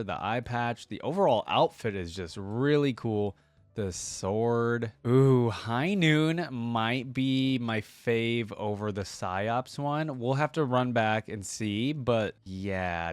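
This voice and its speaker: A man's voice: mid-range voice, dry recording. A man giving casual reaction commentary as host, talking in an enthusiastic, informal, slangy way.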